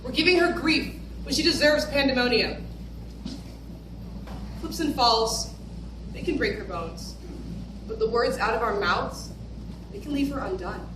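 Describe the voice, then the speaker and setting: Young woman, high pitch, declamatory poetry recital, heavy echo, noisy room. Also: measured pace, pauses often, far from the mic. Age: teenager or young adult. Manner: declamatory, emphatic. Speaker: readers theater performer. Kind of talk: dramatic spoken-word poetry recital. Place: large wooden hall with stage. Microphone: far camera, no visible mic. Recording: very echoey, noisy hall from afar.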